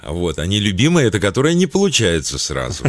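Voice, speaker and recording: A man from Belarus, a voice-over announcer, answering interview questions thoughtfully, pausing to search for words. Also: fairly low pitch, wildly swooping pitch, no echo, clean studio sound.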